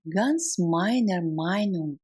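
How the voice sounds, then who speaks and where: A woman language teacher reading out phrases for learners to repeat, enunciating deliberately, word by word. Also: on the low side, animated, warm tone, slightly rough. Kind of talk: slow phrase drill with translations, listen and repeat.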